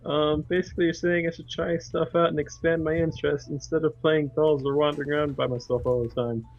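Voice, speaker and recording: A man's voice: a little high, little pitch movement, fairly quick, faint music behind, lightly acted. A spacey little girl with her mind on snacks, read by a man in a slightly raised voice. She drifts and rambles in oddly quaint phrases. The mic sounds dull and lo-fi. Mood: airy. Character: spacey food-obsessed young girl. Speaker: let's play voice reader.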